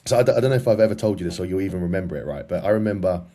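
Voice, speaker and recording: A man's voice: sits low, animated, rapid-fire, warm tone. A British man co-hosting a podcast, chatting casually in a laid-back, matey, encouraging way. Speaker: podcast co-host.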